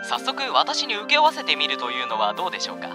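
An actor playing an unctuous, uncanny contractor of lives in a radio drama, speaking with exaggerated, theatrical politeness. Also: male voice, fairly high pitch, wildly swooping pitch, fast. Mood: smoothly persuasive. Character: unctuous, uncanny male life contractor offering sinister favors. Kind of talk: Japanese radio drama acting. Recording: music behind the voice.

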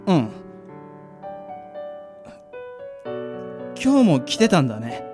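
A man's voice: on the high side, wildly swooping pitch, fast talker, over music. A man playing a mild, modest young working man in a radio drama, in a hesitant, polite way. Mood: gentle, wondering. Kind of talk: amateur radio drama acting and narration.

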